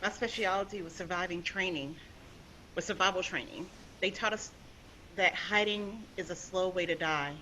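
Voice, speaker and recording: Low, bright woman playing a tough young survivalist. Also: normal speed, slight background noise, rough recording. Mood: determined. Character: tough young female survival specialist, determined fighter.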